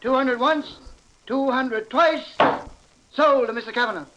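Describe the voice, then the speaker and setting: An auctioneer in an old radio drama. His very high voice calls out in a brisk, repetitive chant, with a crowd behind him. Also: muffled recording. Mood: businesslike. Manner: rapid repetitive calling.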